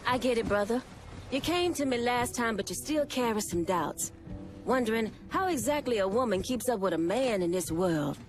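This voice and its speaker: A woman's voice: fairly high pitch, animated, moderate pace, faint music behind, close to an everyday voice. A woman playing a tough female gang boss in a crime game, speaking in a firm, cutting way. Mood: firm, menacing.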